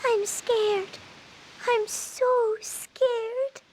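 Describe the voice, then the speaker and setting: A woman voicing an anxious young girl of a tailed fantasy people in an English video game dub, with a timid, halting delivery. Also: very high-pitched, fairly even intonation, a little hiss or hum, exaggerated voice, poor audio. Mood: frightened, shaken. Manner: timid, halting, trembling when afraid.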